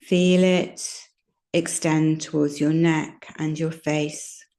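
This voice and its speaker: A woman teaching yoga nidra, guiding a relaxation meditation in a gentle, soothing, unhurried manner with long pauses. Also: low voice, little pitch movement. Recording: roomy, echoing sound.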